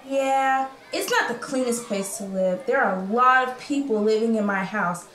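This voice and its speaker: A woman's voice: a little high, expressive, normal speed, a bit bright. A woman playing a young, candid, chatty refugee girl in a radio play. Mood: matter-of-fact. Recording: echoey room with faint noise.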